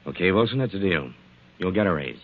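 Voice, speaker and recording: An actor playing a gruff, curt, threatening gangster-like boss in a 1940s radio drama. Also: male voice, sits low, animated, fast, phone-quality sound. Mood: menacing. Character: gruff, menacing male boss who talks like a gangster. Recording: thin 1940s radio recording.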